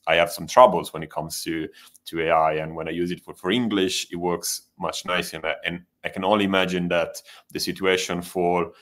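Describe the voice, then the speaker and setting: Man, native Italian speaker, very low voice, hesitant restarts, panel talk. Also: fairly even intonation, brisk, a bit bright. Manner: thoughtful, hesitant with frequent restarts. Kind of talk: panel discussion.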